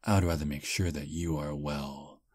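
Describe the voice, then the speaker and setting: A man playing a deep, charming vampire. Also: normal speed, slightly rough, no echo. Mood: smooth flirting. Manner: soft, flattering, gentlemanly. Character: charming polite male vampire on a first date. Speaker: voice actor. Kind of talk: ASMR roleplay.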